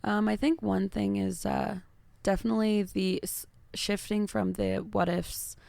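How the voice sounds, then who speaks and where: A woman with a naturally raspy voice, chatting openly and reflectively as a podcast guest, with lots of fillers. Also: medium pitch, flat delivery, measured pace, mellow, no room sound. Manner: open, reflective, heavy use of fillers. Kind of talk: casual podcast chat.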